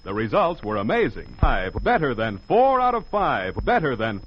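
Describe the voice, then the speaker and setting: A man's voice: mid-range voice, huge pitch swings, normal speed, slight background noise. A man with an American accent reading a scripted sponsor announcement as a 1940s radio announcer. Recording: muffled 1940s radio recording.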